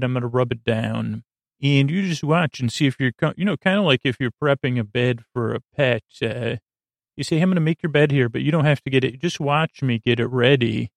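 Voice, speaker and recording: A man hosting a sleep podcast, talking in a soft, lulling, creaky voice that wanders off on tangents, full of filler words and pauses. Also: medium pitch, dry recording. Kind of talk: meandering bedtime monologue.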